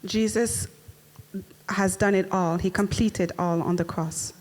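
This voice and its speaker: A woman interpreting a preacher's sermon phrase by phrase, in a plain and steady way. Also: mid-range voice, slow. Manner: renders each phrase promptly, plain and steady.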